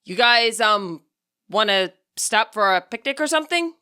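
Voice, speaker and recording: A woman's voice: medium pitch, lively intonation, moderate pace, sharp tone, clean tone. A woman voicing a fussy, exasperated teenage boy who loves cooking, in a storybook reading, particular about every detail. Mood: awkward, hesitant.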